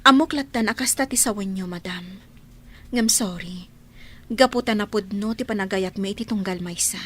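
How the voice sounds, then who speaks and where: An actress playing a gentle but steadfast young student in love, in a radio drama. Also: female voice, medium pitch, moderate pace, natural voice. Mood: apologetic, resolute. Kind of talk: Ilocano radio drama acting.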